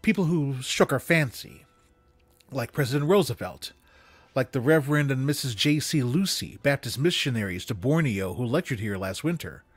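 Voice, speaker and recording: A man's voice: mid-range voice, no echo. Man reading a story, dry close mic. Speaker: story reader. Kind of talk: expressive literary story reading. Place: small room with bookshelves, indoors. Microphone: close studio microphone on desk.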